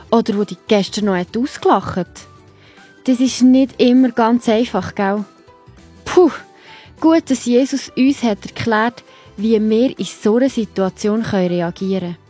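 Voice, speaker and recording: A woman's voice: fairly high pitch, dark tone, husky. A woman with a Swiss German accent narrating a scripted story for children, speaking directly to the listener.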